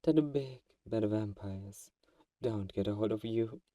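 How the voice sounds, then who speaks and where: Male actor as a possessive vampire, very dark muted tone, dry sound, soft-spoken, coaxing, protective, intimate ASMR roleplay. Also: on the low side, unhurried, no room sound, recorded from a distance, natural voice. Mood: calm, controlling.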